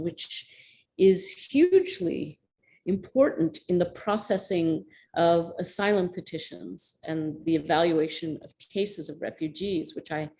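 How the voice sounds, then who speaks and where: A woman's voice: lowish voice, warm tone, slight background noise. Woman answering a question on a video call. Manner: thoughtful, searching, with restarts. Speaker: guest lecturer. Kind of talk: academic lecture Q&A answer.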